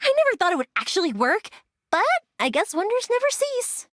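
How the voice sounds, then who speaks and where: A bubbly young fireworks maker, full of teasing chatter and giggles. Her voice sits high and leaps up and down with excitement. It is recorded close and dry, with no room sound. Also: a little fast, no room sound, heavily acted. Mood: chatty. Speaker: game character. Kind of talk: game voice lines.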